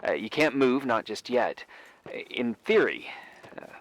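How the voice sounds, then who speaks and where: An actor playing an awkward, absent-minded but helpful man, hesitant and gently joking, in a naturalistic video game style. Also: mid-range voice, sing-song, a little slow, dry recording, low-quality recording. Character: awkward, absent-minded, eager-to-help workaholic man on the phone.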